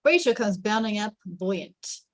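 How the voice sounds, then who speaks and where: Woman, stage-direction reader, scripted narration, mid-pitched voice, dry close sound. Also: expressive, measured pace, dry recording.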